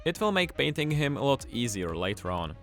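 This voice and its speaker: A man presenting a scripted tutorial, explaining things clearly and with enthusiasm. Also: medium pitch, big pitch swings, fairly quick, a bit bright. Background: music.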